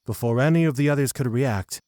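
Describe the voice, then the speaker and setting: A man's voice: medium pitch, a little fast, warm tone, no echo, studio quality. A man narrating a scripted fantasy audio drama.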